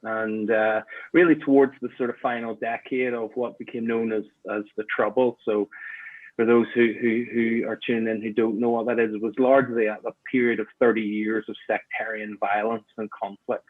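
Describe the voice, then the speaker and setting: A man's voice: on the low side, level tone, normal speed, no echo. A young man from Northern Ireland with a Belfast accent, reflecting on his life as an interview guest with many fillers and restarts. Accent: Northern Irish, Belfast. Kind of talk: casual online interview. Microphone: video call. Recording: tinny, narrow-band call audio.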